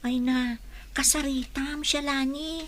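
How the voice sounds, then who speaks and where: Bright, very high-voiced girlfriend confidante. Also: steady tone, slow, slight background noise. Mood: advising, concerned. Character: teasing, frank young female best friend. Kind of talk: Filipino radio drama acting.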